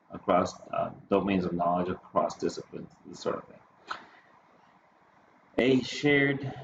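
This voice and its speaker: Male presenter, low-pitched, halting explanatory delivery, muffled recording with clear background noise. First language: Spanish or English, bilingual. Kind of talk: conference presentation.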